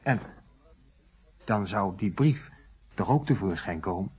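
A man's voice: fairly low pitch, expressive, a little slow, dark tone, husky, exaggerated voice. An actor playing a cornered, defensive man under questioning in a radio play, apologetic and forever justifying himself. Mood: self-justifying. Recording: muffled vintage radio play recording.

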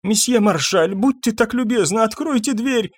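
A man's voice: sits high, moderate pace, no room sound, pitched above the performer's own voice. A man voicing a timid, polite doctor in an audiobook, deferential and fussy, with a mumbling manner. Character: pompous, timid, polite male village doctor.

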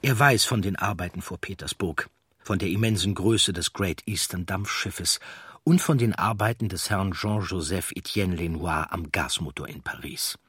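A man's voice: deep voice, normal speed, a bit bright, husky, dry recording, studio quality. A man reading a scripted documentary narration as an audiobook narrator.

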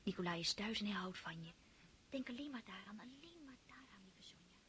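A woman's voice: mid-range voice, fairly quick, slight background noise, natural voice. An actress in a radio play, voicing a joyful, newly engaged young noblewoman who speaks effusively. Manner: effusive, excitable.

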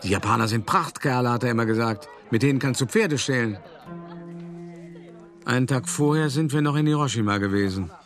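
A man's voice: medium pitch, faint music behind, close to an everyday voice. Man playing a shrewd older art dealer reminiscing. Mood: wry, matter-of-fact. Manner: reflective, conversational storytelling with deliberate pauses. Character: older male art dealer, shrewd, self-satisfied, recounting his past. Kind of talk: radio drama first-person monologue.

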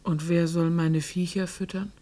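A woman's voice: lowish voice, little pitch movement, a little slow, warm tone, close to an everyday voice. An actress playing a stubborn, distrustful old farm woman who answers curtly and defiantly in a radio drama. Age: older.

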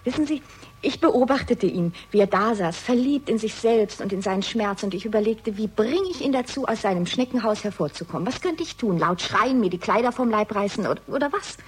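A woman's voice: medium pitch, animated, fairly quick, slightly rough. An actress playing a spirited, cheeky and blunt woman in a 1960s German radio crime drama, teasing and frank.

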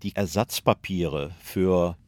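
A man's voice: deep voice, slow pace, darkish tone, dry recording. A man giving factual, explanatory commentary in spoken interview style. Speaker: documentary commentator.

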